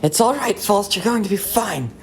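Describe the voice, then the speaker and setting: A woman voicing an excitable, clever scholar in a webcomic dub, animated and theatrical. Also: mid-range voice, normal speed. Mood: excited. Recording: clearly noisy background.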